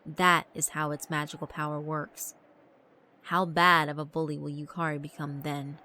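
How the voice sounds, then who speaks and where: A woman narrating a horror visual novel in a measured, dramatic storytelling manner. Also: low-pitched, little pitch movement, pushed and bright, a little hiss or hum.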